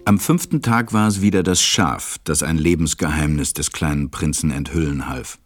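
A man playing a stranded pilot who narrates a radio play in a measured, reflective way. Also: rumbling low, faint music behind.

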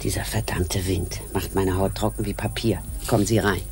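A woman's voice: very deep voice, steady tone, moderate pace, creaky, over music. An actress playing a brash, wary, flippant woman in a noir radio play. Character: brash, wary, slender party-loving brunette woman.

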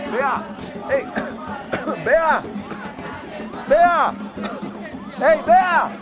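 An actor playing an anxious, hurried villager who repeats himself urgently in a radio soap opera. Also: male voice, very high voice, expressive, exaggerated voice. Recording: crowd and music behind.

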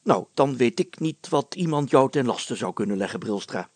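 A man's voice: mid-range voice, animated, normal speed, breathy edge, no echo. A man voicing a pompous, jovial village mayor who speaks emphatically and hems solemnly in a storybook reading. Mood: official, blustery.

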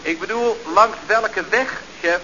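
A man's voice: sits high, takes it slowly, sharp tone, heavily acted. An actor playing a hapless, dutiful male underling, naive and literal, in a Dutch radio comedy from around 1970 with a Netherlands accent. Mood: puzzled, deferential. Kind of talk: 1970s radio comedy acting. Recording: dull, hissy old radio recording.

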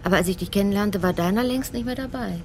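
An actress playing a commissioner's older wife, affectionate and inquisitive, in a radio crime drama, speaking in a familiar way. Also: female voice, mid-range voice, quick pace, slight background noise, natural voice. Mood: fond, curious. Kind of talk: German radio play acting, intimate dialogue.

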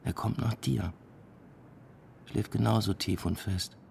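Deep-voiced, laconic academic man. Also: steady tone, takes it slowly, gravelly, darkish tone, a little hiss or hum, heavily acted. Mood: tired, tender. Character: dry, understated male academic, partner and father. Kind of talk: naturalistic radio drama dialogue.

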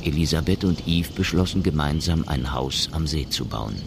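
A man reading scripted narration as the measured storyteller of a science fiction radio drama. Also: very deep voice, creaky, noisy background. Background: music.

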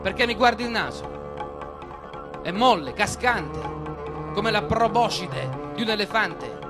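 A man's voice: high-pitched, wildly swooping pitch, takes it slowly, full character voice. An actor playing a proud, hot-tempered swordsman-poet, declaiming theatrical verse with insistent rhetorical questions in a radio play. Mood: indignant, menacing. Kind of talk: stage-style radio theatre verse monologue. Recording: loud music under the voice. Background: music.

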